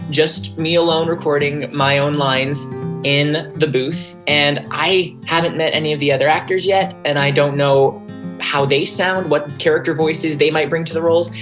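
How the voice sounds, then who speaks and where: A young man, an actor, speaking casually and reflectively as an interview guest. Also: fairly high pitch. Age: young adult. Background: music, plucked guitar.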